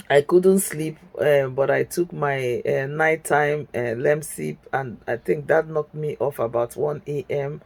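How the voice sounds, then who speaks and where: A woman's voice: sits low, creaky, a little mellow. A middle-aged woman vlogging from bed at home while sick, stuffy and chesty, hesitant with many fillers but staying upbeat. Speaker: vlogger, nurse. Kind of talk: casual story-time vlog.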